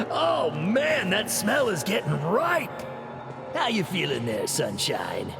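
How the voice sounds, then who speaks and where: An actor voicing a crazed, taunting spirit in a video game, with a drawling, excitable delivery. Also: mid-range voice, takes it slowly, vocal fry, in a large hall, heavily acted. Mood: mocking glee. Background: game music.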